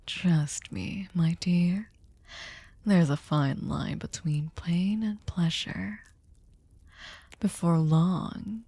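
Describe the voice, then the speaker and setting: A woman playing a sadistic, seductive vampire mistress in an ASMR roleplay, commanding and condescendingly tender, breathy and often whispering. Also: lowish voice, dark tone, no echo, exaggerated voice. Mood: hungry, gloating. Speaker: ASMR voice performer. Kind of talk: ASMR roleplay, slow intimate monologue. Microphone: close studio microphone, dry.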